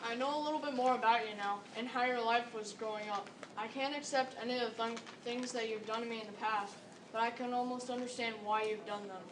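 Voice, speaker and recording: A woman's voice: a little high, steady tone, brisk. Female student in early teens, stage recitation, echoey and distant from the mic. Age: young teenager. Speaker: student performer. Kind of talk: readers theater recitation. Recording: echoey room, distant mic, background noise.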